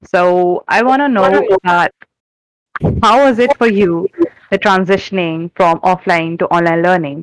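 Young woman cohosting a student podcast, lowish voice, dry close sound, asks friendly questions. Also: no room sound, low-quality recording. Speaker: podcast co-host. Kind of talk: casual podcast hosting.

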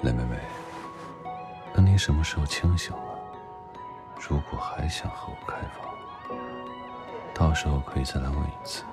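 Audio drama role, male actor: dry, curt doctor with a soft heart, low register, clipped commands. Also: moderate pace, pauses often, loud music behind the voice. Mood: gently soothing. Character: curt, exasperated but secretly tender male doctor. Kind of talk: Chinese audio drama acting.

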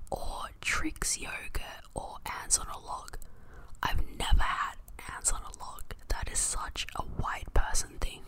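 A woman whispering into a close mic in a casual ASMR chat, softly repeating words and a little out of breath. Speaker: podcast host. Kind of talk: whispered ASMR chat doing online quizzes.

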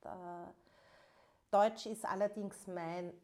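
Young woman co-host, unhurried and deliberate, with many pauses and fillers. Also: medium pitch, fairly even intonation, warm tone. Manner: slow, thoughtful, frequent hesitations. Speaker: podcast co-host. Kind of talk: casual chat.

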